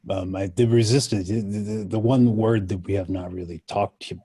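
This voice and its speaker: Male panelist from Ethiopia, low and mellow, deliberate reflective delivery with emphatic passages. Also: steady tone, slightly rough, dry recording.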